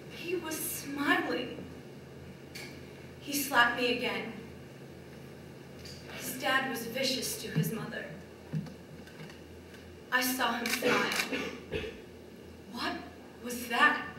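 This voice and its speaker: Woman performing battered wife, stage monologue, slow halting phrases, bright tone, wounded, far-off echoey recording. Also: high voice, full character voice. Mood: hurt, disbelieving. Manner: deliberate, halting delivery with short broken phrases. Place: large echoey room, distant microphone. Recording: very echoey hall from far off, faint noise.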